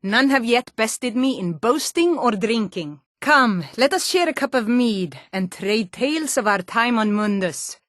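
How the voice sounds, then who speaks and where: A woman's voice: a little high, expressive, unhurried, hardly any pauses, a bit bright, dry recording, clean studio sound. A woman playing a proud, hearty Nord warrior in a fantasy game, declaiming solemnly in lofty, archaic, bardic phrases.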